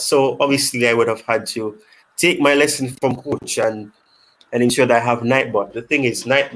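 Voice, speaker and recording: A man's voice: mid-range voice, rough recording. A man hosting a casual football fan live stream, reading chat questions aloud and rambling with many restarts.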